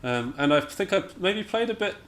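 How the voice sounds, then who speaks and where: A man's voice: high voice, animated, moderate pace, fairly bright tone. A man from Scotland chatting casually as an interview guest, in a hesitant, understated and self-deprecating way. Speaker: interview guest, jazz pianist and musician.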